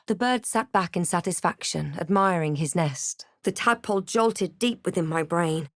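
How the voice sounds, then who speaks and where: Woman acting as video game adventurer heroine, lower-pitched, composed, wary, dramatic measured delivery, dry studio sound. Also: no room sound, lightly acted. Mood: grave, composed. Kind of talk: fantasy video game voice lines and narration.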